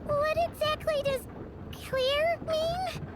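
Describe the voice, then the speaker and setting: A woman voicing a tiny, pert fairy companion in a video game, with a squeaky, childlike voice. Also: fairly even intonation, slow pace, very noisy. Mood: wary.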